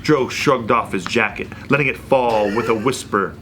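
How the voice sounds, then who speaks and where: A man's voice: medium pitch, normal speed, noisy background. A man reading romance fan fiction aloud to friends in a mock-dramatic narrator's style, prone to break into laughter. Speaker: writer and panelist on a comedy show. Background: friends reacting and laughing.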